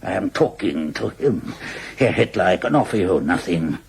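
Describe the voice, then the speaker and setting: An older man acting a gruff, scornful old field marshal and president in an audio drama, blunt and imperious. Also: deep voice, expressive, moderate pace, a little mellow, exaggerated voice. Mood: curt, dismissive.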